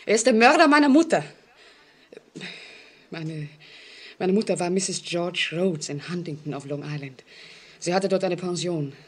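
A woman's voice: lowish voice, expressive, a little slow, long pauses, breathy edge. An actress playing a distressed, insistent woman in a 1940s radio drama, on a crackly, metallic mono recording. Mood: agitated, insistent. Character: distressed, insistent woman, daughter of a murder victim.

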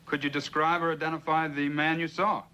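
Quick, higher-voiced defense lawyer. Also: male voice, little pitch movement, sharp tone, muffled recording. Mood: questioning. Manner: formal, direct questioning. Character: crisp, businesslike male defense lawyer questioning a witness. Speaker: actor as defense counsel. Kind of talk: 1960s television courtroom drama acting.

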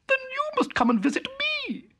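A woman voicing a proud, fussy female town mouse in a storybook reading, with a high squeaky, sniffy delivery. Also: very animated, measured pace, heavily acted. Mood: haughty.

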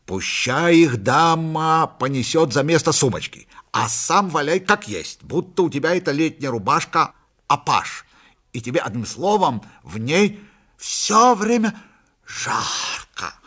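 A slangy, helpful fellow acted by a male reader in a comic story. He advises earnestly and colloquially, with very animated intonation. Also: a little high, unhurried, heavily acted. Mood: advising. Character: slangy helpful male pal giving absurd advice.

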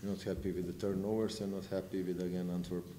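Middle-aged coach guy, really deep monotone, blunt about the turnovers, echoey press room with noise. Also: quick pace. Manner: blunt, frustrated, self-correcting. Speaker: visiting basketball coach. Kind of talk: post-game press conference answers. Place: press room with sponsor backdrop. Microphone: table mic. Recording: echoey room, noisy background.

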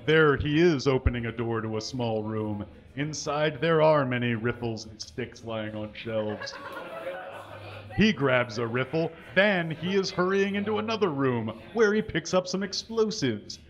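Animated man doing a comedic stage reading. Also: mid-range voice, a little slow, lo-fi sound. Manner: mock-earnest, exaggerated delivery, reading out typos and punctuation. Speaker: live comedy show performer reading fan fiction. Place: small indoor stage with curtains, live audience. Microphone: microphone on a stand.

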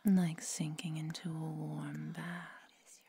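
A woman playing a protective, coolly menacing vampire seductress in a hushed, deliberate, coaxing ASMR roleplay whisper. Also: low voice, level tone, vocal fry, slight background noise, heavily acted. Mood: hypnotic, soothing.

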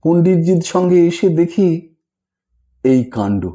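Male narrator as remorseful renunciate woman, audio story, soft-toned, unhurried, halting, resigned, sorrowful. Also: fairly high pitch, animated. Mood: troubled recounting. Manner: confessional, halting, weary reflective telling.

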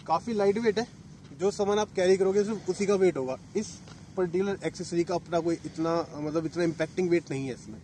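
A man's voice: high voice, a little mellow, a little hiss or hum, rough recording. A man in his thirties, a motorcycle vlogger, chatting casually as he explains gear step by step at an open lakeshore. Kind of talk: casual product demo.

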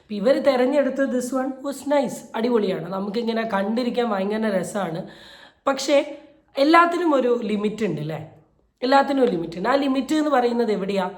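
A woman's voice: fairly high pitch, expressive, fairly quick. A woman giving indignant, emphatic video commentary, repeating phrases to drive her points home. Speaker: YouTube commentator. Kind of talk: opinion commentary on a viral video. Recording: roomy, echoey voice recording.

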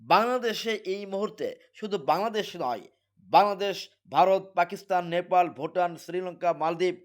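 A man with a Bangladeshi accent giving an emphatic, repetitive sales pitch as he presents a product. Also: a little fast. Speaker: product seller presenting a device.

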